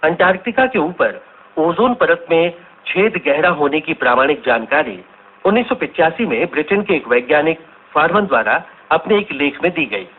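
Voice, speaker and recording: An actor playing an Indian radio science reporter, delivering a formal explanatory scripted report. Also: male voice, a little high, expressive, moderate pace, slightly rough. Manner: formal, expository. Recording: muffled, phone-like radio broadcast sound.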